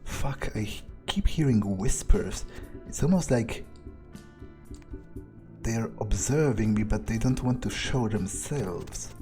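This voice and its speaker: A man's voice: on the low side, takes it slowly, warm tone, soft background music. A man muttering and swearing as he thinks aloud in character while playing a game. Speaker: game player.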